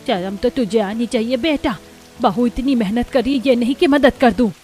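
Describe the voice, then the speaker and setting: A woman's voice: mid-range voice, moderate pace, darkish tone, soft background music, close to an everyday voice. A woman voicing a kindly but firm elderly mother-in-law from a village in a cartoon. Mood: scolding.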